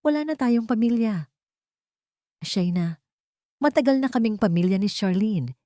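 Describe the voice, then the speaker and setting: A woman's voice: fairly high pitch, animated, measured pace, mellow, no room sound, pitched above the performer's own voice. Lady doing a mean cheating husband in an audio drama, cold and dismissive, dry recording. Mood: cold, scornful. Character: cold, cruel unfaithful husband, villain.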